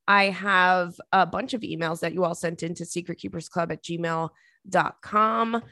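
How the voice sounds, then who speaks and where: A woman in her thirties with a slightly raspy voice, hosting a podcast from her kitchen table and telling stories in a chatty, animated way. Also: lowish voice, normal speed, dry recording, clean studio sound. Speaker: podcast host. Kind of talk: casual chat.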